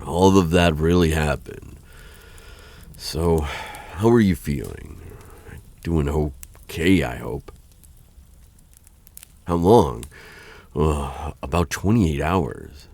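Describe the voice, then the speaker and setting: A man playing a conflicted vampire lord in an ASMR roleplay, aloof yet courteous and softening, with a hesitant, stammering, musing delivery. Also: no room sound, heavily acted. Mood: gently concerned. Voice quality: very deep, gravelly, husky voice. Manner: hesitant, stammering, musing with sighs and trailing pauses. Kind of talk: ASMR roleplay audio drama acting.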